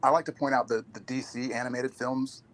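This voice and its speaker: A man's voice: mid-range voice, fairly even intonation, a little fast, slight background noise. A man appearing as a guest on a casual chat show, explaining his opinions at length.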